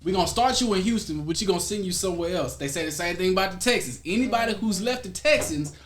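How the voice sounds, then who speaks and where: A young American man chatting casually as a guest, slangy, emphatic and expressive in how he talks. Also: sits high, fast talker. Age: young adult. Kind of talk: casual conversation.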